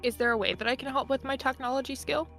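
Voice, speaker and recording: A woman's voice: sits high, quick pace, fairly bright tone. A woman bantering casually as a player in a tabletop roleplaying game. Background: faint music.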